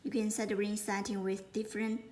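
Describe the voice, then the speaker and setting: A woman giving an unscripted product demonstration, speaking haltingly and often repeating words as she explains. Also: mid-range voice, flat delivery, a little mellow. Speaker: product presenter.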